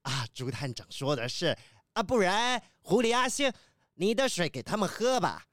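A voice actor playing a kindly older kiwi bird professor in a children's audio drama, hesitant and gently scolding. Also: mid-range voice, sing-song, normal speed, no room sound, clean studio sound. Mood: hospitable.

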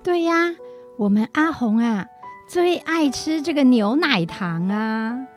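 Radio drama grandmother actress: confused, doting, prickly, unhurried delivery, highish pitch, faint music bed. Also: expressive. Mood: fond.

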